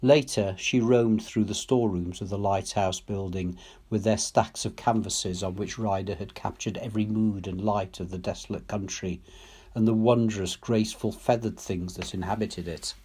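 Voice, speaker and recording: A man reading literary fiction aloud as a storyteller, in a measured, solemn manner, phrase by phrase. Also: on the low side, fairly even intonation, warm tone, husky. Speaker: story reader, teacher.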